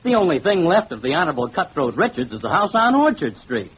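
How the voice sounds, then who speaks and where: An actor playing a suave, dramatic gentleman in an emphatic, theatrical 1940s radio drama style. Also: on the high side, very animated, fast talker. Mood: earnest warning. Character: suave, dramatic gentleman host and art owner. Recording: old muffled radio recording, faint hiss.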